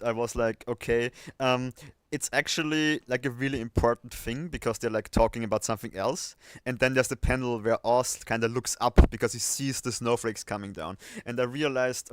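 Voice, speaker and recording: Guy hosting a chill stream chat, clear smooth voice, dry close mic. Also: medium pitch, dry recording. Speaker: livestream host and video editor. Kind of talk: casual chat.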